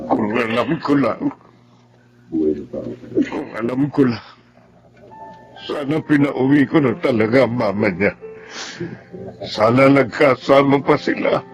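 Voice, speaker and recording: An actor playing a bereaved middle-aged father in a Tagalog radio drama, plaintive, halting and bewildered. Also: medium pitch, natural voice, rough recording. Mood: grieving. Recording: dramatic music bed behind.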